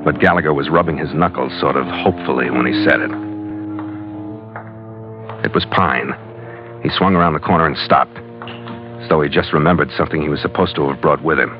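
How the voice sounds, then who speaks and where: A man playing a gruff, dry-witted ship's skipper and narrator in an old-time radio adventure, with a wry, clipped delivery. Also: rumbling low, a little fast, pauses often. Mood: wry narrating. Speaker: lead actor and narrator. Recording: muffled vintage radio recording with music.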